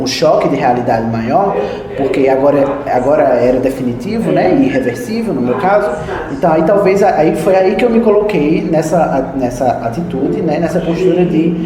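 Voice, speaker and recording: Young man, reflective interviewee, mid pitch, long hall reverb. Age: 20s. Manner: reflective, halting restarts. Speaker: computer instructor at an institute for the blind. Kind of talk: interview testimony.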